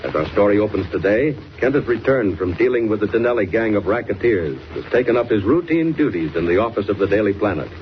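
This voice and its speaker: A man announces a forties radio serial in a declamatory, dramatic style. His lowish voice rises and falls boldly over a noisy old recording. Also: animated, fast talker, hardly any pauses, dull audio. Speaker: announcer and narrator. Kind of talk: dramatic 1940s radio announcing, scripted narration.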